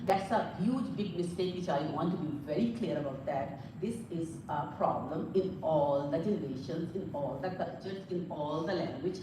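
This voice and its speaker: A woman's voice: low voice, normal speed, hardly any pauses. A middle-aged woman from Pakistan, a native Punjabi speaker with a Pakistani accent, giving an earnest, informal talk as a psychologist to a live audience. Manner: earnest, repeats points, mixes in Urdu phrases. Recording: echoey hall from far off with room noise.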